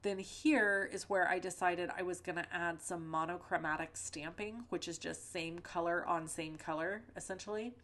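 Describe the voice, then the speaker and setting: A middle-aged woman chats her way through a crafting tutorial recorded at home, wandering into personal asides and filling pauses with ums and likes. Her voice sits a bit low for a woman and sounds slightly stuffed up from the tail end of a cold. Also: steady tone, a bit bright. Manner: rambling, conversational, many fillers and asides. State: a little stuffy-nosed from a lingering cold. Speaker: card-making channel host. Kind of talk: chatty crafting tutorial voice-over with personal stories.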